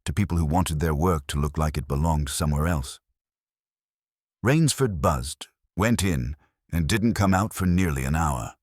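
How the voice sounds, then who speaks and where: A man's voice: low voice, dry recording, studio quality. A man reading a first-person detective story as a scripted narration, with a wry, hardboiled delivery. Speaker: audiobook narrator.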